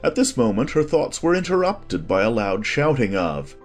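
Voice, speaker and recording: A man with a husky voice reading a scripted story as an expressive audiobook narrator. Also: medium pitch, moderate pace. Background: faint music.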